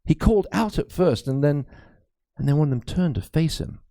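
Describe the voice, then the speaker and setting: A man's voice: fairly high pitch, animated, normal speed, mellow, no room sound, studio quality. Man acting a frightened, swooping medieval priest. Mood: dread. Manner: stammering, dramatic and urgent. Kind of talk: dramatic audiobook character acting.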